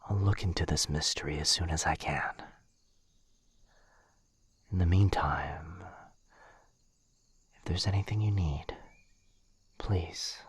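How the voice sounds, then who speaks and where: A man playing a gentle, protective, courtly vampire lord in an ASMR roleplay, speaking softly in a husky near-whisper with a hesitant, formal, earnest manner. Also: low voice, dry recording, exaggerated voice. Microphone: close studio mic, dry.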